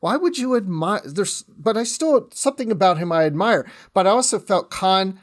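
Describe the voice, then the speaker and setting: A man's voice: high voice, lively intonation, normal speed. A man chatting casually as a podcast guest, thinking aloud as he goes. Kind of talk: casual chat, discussion.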